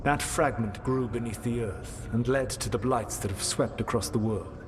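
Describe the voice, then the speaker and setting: An actor playing an ancient elven god in a video game, speaking in a grave, formal and measured way with a learned air. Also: male voice, medium pitch, noisy background, close to an everyday voice. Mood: solemn explaining. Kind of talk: dramatic video game dialogue.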